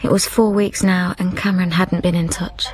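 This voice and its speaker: An actress playing a grieving young widow in a radio drama, earnest and hesitant. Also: female voice, fairly low pitch, level tone, normal speed, darkish tone, close to an everyday voice. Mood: wistful. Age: young adult. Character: grieving young widow torn by new love, withdrawn. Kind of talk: naturalistic radio drama acting and first-person narration.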